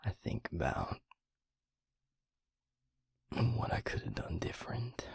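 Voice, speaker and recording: A man playing a weary, guilt-ridden vampire in an intimate audio drama, confiding in a husky, halting near-whisper. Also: deep voice, no room sound, full character voice. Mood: brooding, regretful. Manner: slow, halting, brooding confession. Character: weary, guilt-ridden male vampire and former healer, confiding in his mate. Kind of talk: intimate audio roleplay drama, hushed monologue.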